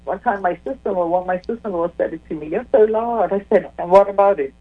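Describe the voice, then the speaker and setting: An older woman, a caller to a talk show, with a strong loud voice, chatting and telling stories and often repeating her words. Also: mid-range voice, fast. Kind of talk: phone-in chat. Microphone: phone. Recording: dull lo-fi phone line.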